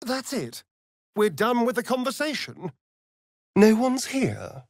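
An actor playing a vain, sardonic, centuries-old vampire spawn in a video game, with an arch, drawling, flamboyant delivery. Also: male voice, very high voice, very animated, slow pace, no echo, clean studio sound, full character voice. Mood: outraged. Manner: arch, drawling, swinging from purrs to shrieks. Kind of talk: theatrical video game character acting.

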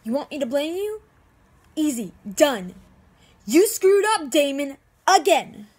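A woman acting an anguished, lovestruck young heroine in amateur supernatural-drama monologues, with emotional, halting delivery. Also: high voice, sing-song, darkish tone. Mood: angry, pleading.

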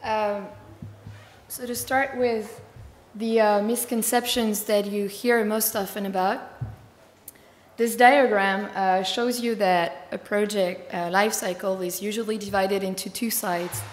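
A woman with a slightly hoarse, tiring voice giving a conference talk, fluent but with many fillers. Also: medium pitch, steady tone, measured pace. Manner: fluent, filler-heavy explaining. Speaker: conference speaker. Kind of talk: conference presentation. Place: large conference hall. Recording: echoey hall with long reverb.

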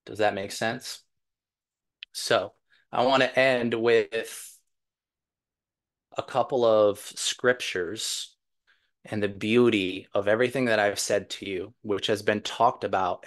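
A man in his forties with a fairly low voice speaks as a spiritual teacher. He is earnest and deliberate, circling back to repeat key phrases so that they land. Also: steady tone. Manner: earnest, deliberate, emphatic repetition. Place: indoors, seated before a virtual backdrop.

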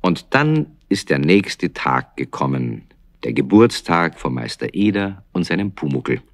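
A man's voice: sits low, sing-song, unhurried, dry recording. A man narrating a children's radio play as a scripted storyteller.

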